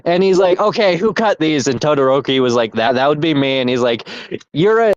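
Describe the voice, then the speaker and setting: A man's voice: fairly high pitch, lively intonation, fairly quick, smooth, no room sound. A young man in casual chat as podcast co-host, rambling with restarts and laughter. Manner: rambling, laughing, self-interrupting. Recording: dull lo-fi mic.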